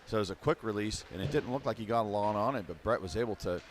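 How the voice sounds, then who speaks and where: A man's voice: on the low side, fast talker. Man, rinkside color commentator, easygoing rambling analysis, faint arena hum behind. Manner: rambling, restarts, laid-back analysis. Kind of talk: casual game commentary. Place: rink stands.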